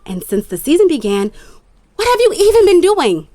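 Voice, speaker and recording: A young adult actress playing an ambitious female sports agent who lectures in a crisp, cutting way. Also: very high-pitched, wildly swooping pitch, normal speed, warm tone, soft background music, exaggerated voice. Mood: lecturing. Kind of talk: audio drama dialogue.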